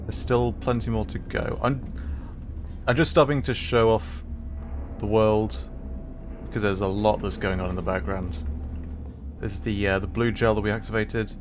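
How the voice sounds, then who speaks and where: Male game streamer, lowish voice, casual musing commentary, halting, playful asides, faint background noise. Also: steady tone. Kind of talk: casual live gameplay commentary.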